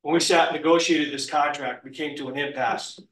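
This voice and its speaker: A man, a union representative, hoarse from a cold, giving a formal explanation at a school board meeting from a podium. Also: a little high, steady tone, a little fast, a bit bright, distant mic. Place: meeting room, standing at a wooden podium. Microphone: podium mic, heard from a far camera. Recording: echoey room, voice heard from afar.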